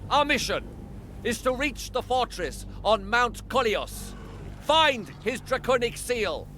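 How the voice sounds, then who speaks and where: Commanding war leader, wide-swinging voice. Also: male voice, very high-pitched, sing-song, slow pace, sharp tone, heavily acted. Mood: rousing. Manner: commanding, declamatory. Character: commanding, resolute male war leader addressing soldiers. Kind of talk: dramatic video game acting. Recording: music behind the voice.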